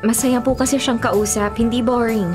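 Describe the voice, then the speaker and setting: An actress playing a guarded, bitter daughter wary of love in a Filipino radio drama, with an expressive, sassy delivery. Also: female voice, a little high, normal speed, music in the background, close to an everyday voice. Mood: cheerful.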